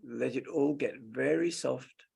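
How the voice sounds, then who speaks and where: Gravelly man guiding a slow meditation. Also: medium pitch. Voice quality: gravelly, husky. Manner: gentle, unhurried, halting short phrases. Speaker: meditation teacher. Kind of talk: slow guided meditation with long pauses.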